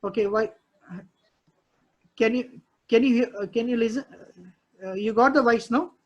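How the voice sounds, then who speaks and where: A man's voice: very high-pitched, steady tone, normal speed, low-quality recording. A male instructor with an Indian English accent, walking students through a live technical class, often repeating himself and checking "okay, understood?".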